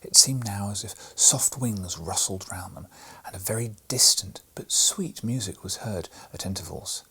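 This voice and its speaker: A man reading a bedtime storybook aloud in a soft, hushed delivery with a breathy voice. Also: lowish voice, measured pace. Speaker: storybook reader.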